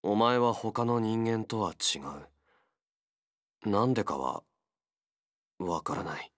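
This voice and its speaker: A blunt, wary feral young fighter. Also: male voice, on the low side, expressive, no room sound, studio quality. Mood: subdued, earnest. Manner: blunt, terse, halting speech. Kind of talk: Japanese game character voice acting.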